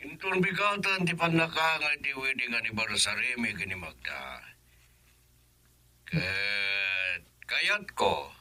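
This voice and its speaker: A man with an Ilocano accent playing a gruff, teasing older neighbor in a radio drama. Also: mid-range voice, sing-song, slow pace, pushed and bright, exaggerated voice. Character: old man Ponso, inviting neighbor.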